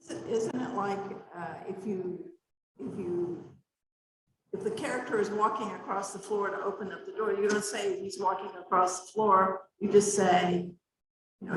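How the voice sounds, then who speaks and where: A woman taking part in a writing workshop on a video call, thinking aloud tentatively as she offers an idea. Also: medium pitch. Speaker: workshop participant. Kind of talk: casual discussion on a video call. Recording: distant, very echoey room with noise.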